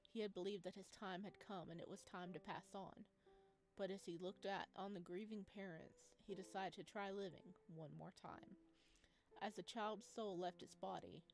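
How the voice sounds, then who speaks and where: Expressive woman doing casual game commentary. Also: fairly low pitch. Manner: expressive, reactive, informal. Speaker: gaming video host. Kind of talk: casual let's play commentary. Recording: music behind the voice. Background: game music.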